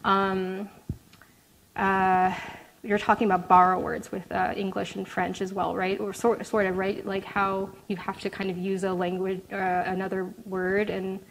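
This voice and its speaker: A woman's voice: mid-range voice, monotone, unhurried, creaky, slight background noise, poor audio. A woman answering audience questions after a talk, casual and hesitant, with many fillers and restarts.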